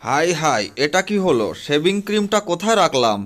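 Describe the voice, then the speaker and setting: High-pitched, flustered cartoon cat played by a man. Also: big pitch swings, normal speed, a bit bright. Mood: flustered panic. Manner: exclaiming, dramatic. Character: excitable, flustered male cartoon cat running a barber parlour. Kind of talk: comic Bangla cartoon fan dub. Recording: music under the voice.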